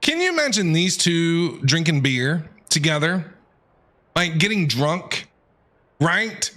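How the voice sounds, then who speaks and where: A man giving casual, playful and excitable live reactions as he streams a game. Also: a little high, takes it slowly. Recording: game music behind the voice.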